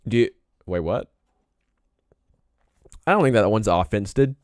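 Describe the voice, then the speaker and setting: A young man streaming casually while he draws, rambling in a laid-back way and often clearing his throat. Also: fairly low pitch, lively intonation, unhurried, no echo, studio quality. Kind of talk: casual live-stream chat while drawing.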